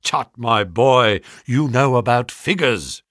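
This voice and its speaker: A man voicing a brisk, flattering financial agent in a story, speaking sharply with grand, pushy salesmanship. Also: mid-range voice, dry recording, studio quality. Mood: salesmanlike, grandiose. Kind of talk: audiobook character dialogue.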